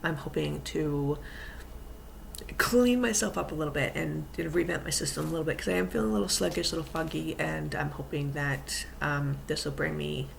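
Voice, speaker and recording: Soft-spoken woman with a gravelly voice. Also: sits low, fairly quick, slight background noise, rough recording. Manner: rambling, many ums and restarts; speaking quietly so as not to wake someone. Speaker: vlogger and fitness coach. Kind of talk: casual vlog chat.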